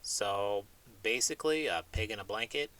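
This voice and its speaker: A man's voice: on the low side, lively intonation, normal speed, bright, forceful tone. A man voicing a cocky, carefree talking pig with a boastful, teasing manner in a children's show. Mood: puzzled.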